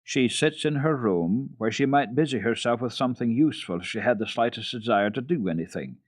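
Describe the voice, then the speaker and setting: A man's voice: medium pitch, expressive, a little fast, pitched above the performer's own voice, close to an everyday voice. A man voicing a stiff, fussy lady housekeeper in a storybook reading, formal, prim and complaining. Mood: scornful, complaining. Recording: dull, roomy old radio recording.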